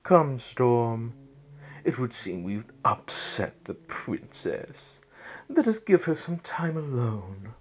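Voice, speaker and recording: An actor playing a wicked, mocking sorcerer villain in an audio drama, with a taunting, theatrical delivery. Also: male voice, mid-range voice, very animated. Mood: gloating. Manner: taunting, theatrical, drawn-out delivery with sinister chuckles. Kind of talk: fan audio drama acting.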